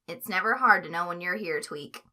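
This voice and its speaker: A woman voicing a calm, deadpan teenage boy who talks in a flat, matter-of-fact way in a story reading. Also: lowish voice, fairly quick, pitched below the performer's own voice.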